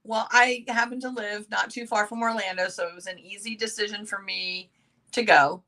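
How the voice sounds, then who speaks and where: A middle-aged woman with a voice a little raspy from yelling shares her experience on a video call, earnest and halting, with many restarts. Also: mid-range voice, steady tone. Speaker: sales consultant guest. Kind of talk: personal sharing on a video call.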